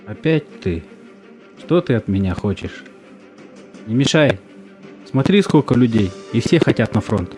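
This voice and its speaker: An actor playing a gruff, weary military recruitment commander in an audio drama, curt and stern as he questions young volunteers. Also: medium pitch, big pitch swings, unhurried, pauses often, warm tone. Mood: gruff, impatient. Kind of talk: stage-style audio drama acting. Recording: music under the voice.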